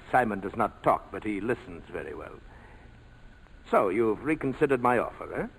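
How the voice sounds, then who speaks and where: Oily businessman, husky, animated intonation, courteous, sly, old 1940s radio drama. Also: fairly low pitch, moderate pace, full character voice, low-quality recording. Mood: smooth, sly. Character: suave, sly, shady businessman employer. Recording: vintage 1940s radio broadcast.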